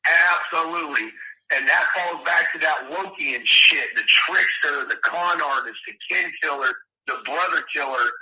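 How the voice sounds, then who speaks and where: A man chats on a recorded telephone call in a blunt, rough-worded way. His voice sits somewhat high and has a hard, very bright edge. It comes through as a played-back call. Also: moderate pace. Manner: blunt, coarse-spoken. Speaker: interviewee on a recorded phone call. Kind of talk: casual phone conversation.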